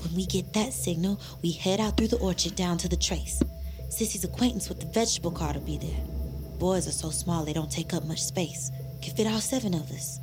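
Purposeful Southern woman played by an actress, lower register, quietly steering a secret escape over background music. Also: fairly quick, close to an everyday voice. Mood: planning, resolute. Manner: hushed, purposeful. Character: practical, steady woman guiding a secret escape. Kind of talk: dramatic audio fiction acting.

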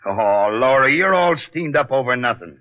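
A stubborn middle-aged laborer played by a male actor in a 1940s radio drama. He talks in a gruff, blunt working-class way at a middling pitch. The sound is narrow and tinny, like an old broadcast. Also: animated, normal speed, faint music behind, close to an everyday voice. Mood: dismissive, stubborn. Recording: thin, narrow-band 1940s radio recording.

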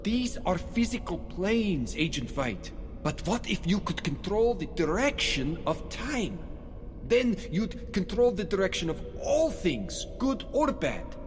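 An actor playing a defiant, calculating Russian commander with menacing, idealistic conviction in an audio drama. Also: male voice, sits high, sing-song, measured pace, long reverb tail, soft background music. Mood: coolly grandiose.

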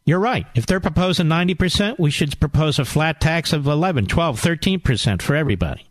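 A man's voice: on the high side, expressive, fast, no echo. A middle-aged man hosting a call-in radio talk show, arguing emphatically and lecturing his callers.

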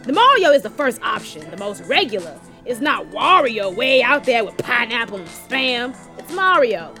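Cheeky hustler, high voice jumping all over, fast-talking wiseguy lines, audio drama. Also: female voice, big pitch swings, sharp tone, faint music behind, heavily acted. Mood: teasing, glib. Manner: glib, teasing, slangy patter. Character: brash, cheeky sneak thief named Simon, sociable hustler. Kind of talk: audio drama buddy comedy acting.

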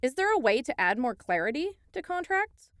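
A woman's voice: high voice, big pitch swings, normal speed, a bit bright, no room sound. A woman interviewer posing a question to a guest.

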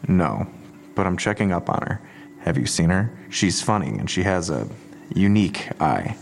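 An actor playing a courteous, considerate police detective with a gravelly, measured delivery in an audio drama. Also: male voice, sits low, sing-song, full character voice.

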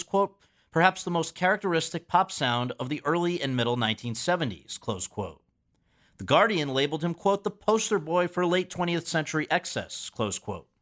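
A man hosting a podcast, reading a measured scripted introduction full of quotations, then asking polite questions. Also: a little high, a bit bright. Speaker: podcast host.

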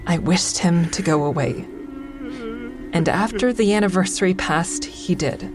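A low-pitched female narrator in a fiction podcast, played by an actress. She is a wry, wary comic who speaks candidly, as if confiding, with dry bluntness. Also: measured pace, over music, close to an everyday voice. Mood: uneasy, tense. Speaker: lead character and narrator. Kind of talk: first-person audio drama narration.

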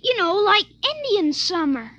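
A woman's voice: very high voice, very animated, a little slow, very noisy, full character voice. A woman playing an eager small child with a singsong manner, in 1950s radio drama style. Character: eager, bright small child heading outdoors.

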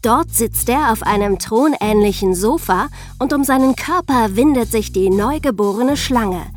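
Lady podcast host, highish voice, chatty storytelling, faint music behind. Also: expressive, moderate pace. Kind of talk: scripted storytelling, chatty explaining to young listeners.

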